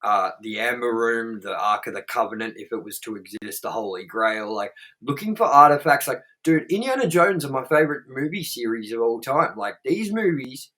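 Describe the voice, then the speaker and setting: A man co-hosting a podcast in loose, rambling casual chat. He swears freely and keeps circling back with fillers while he works out his point. His voice sits at an ordinary middle pitch. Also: expressive, normal speed, few pauses, fairly bright tone. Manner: rambling, profane, with lots of fillers. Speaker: podcast co-host.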